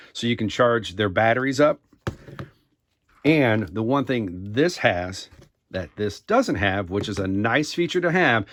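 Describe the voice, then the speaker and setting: A man giving a casual, rambling, conversational product review to camera, circling back over his points. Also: mid-range voice.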